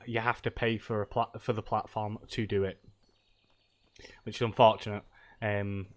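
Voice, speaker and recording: Male game streamer, a bit low, croaky with a cold, chatty and hesitant. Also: fairly bright tone. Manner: rambling, loose, many hesitations. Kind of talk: casual live-stream chat while gaming.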